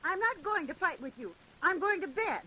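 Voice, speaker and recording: A woman's voice: extremely high pitch, animated, normal speed, bright, forceful tone, heavily acted. An actress playing a defiant teenage daughter, fed up with her father, in an old-time radio drama. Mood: weary, defiant. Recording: faintly noisy old radio recording.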